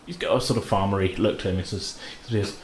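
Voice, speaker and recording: A man improvising narration as a tabletop game master in an informal, halting way. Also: fairly low pitch. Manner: halting, informal, hedging descriptions. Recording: roomy, light background noise.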